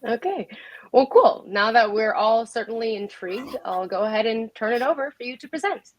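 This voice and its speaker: A woman's voice: fairly high pitch, expressive, moderate pace, dry recording, poor audio. A woman speaking as the host of a livestream show, introducing and interviewing.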